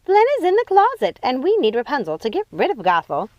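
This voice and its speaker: A woman's voice: high-pitched, huge pitch swings, a little fast, a little mellow, no room sound, dull audio, pitched above the performer's own voice. A woman voicing a bossy, gloating witch sister. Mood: commanding. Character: scheming cruel adult witch sister.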